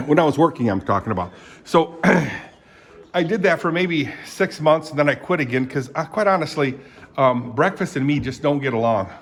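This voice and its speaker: A man in his seventies, born in Indonesia, talking in a rambling, halting way, full of fillers and frequent throat clearing. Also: on the high side, lively intonation. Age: 70s. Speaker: vlogger. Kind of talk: rambling casual monologue.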